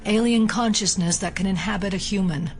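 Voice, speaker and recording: A woman playing a wary, analytical investigator in an audio drama, measured and clinical. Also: medium pitch, lightly acted. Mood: wary, probing.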